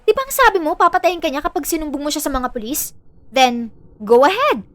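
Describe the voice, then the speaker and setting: Money-hungry younger sister played by a woman: high-pitched, sassy, bossy, sarcastic; dry audio drama sound. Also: sing-song, brisk, no room sound. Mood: scheming.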